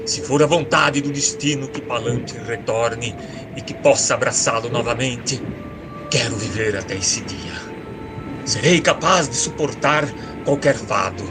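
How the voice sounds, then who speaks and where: A man's voice: mid-range voice, unhurried, over music, natural voice. A man playing an anguished, aging father king who prays solemnly and pleadingly in an epic audio drama.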